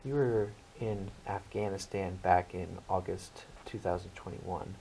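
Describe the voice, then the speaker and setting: A man's voice: sits low, fairly even intonation, a little slow, vocal fry, mellow, slight background noise, low-quality recording. A young man co-hosting a student interview show, reading a scripted intro and questions with occasional stumbles. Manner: stumbles while reading aloud. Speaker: co-host.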